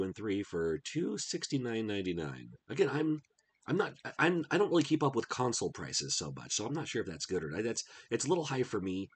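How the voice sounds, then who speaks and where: A middle-aged man with a congested cold voice, chatting casually as he browses a retro game store online. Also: lowish voice. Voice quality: husky. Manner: chatty, musing aloud while browsing. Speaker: retro game channel host. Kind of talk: casual screen-share commentary.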